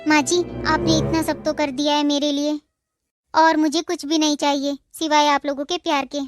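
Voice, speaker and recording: A woman voicing a gentle, polite young newlywed daughter-in-law in a cartoon, with a soft, respectful manner. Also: high voice, level tone, normal speed, music in the background, close to an everyday voice. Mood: sweet. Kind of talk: Hindi cartoon dubbing.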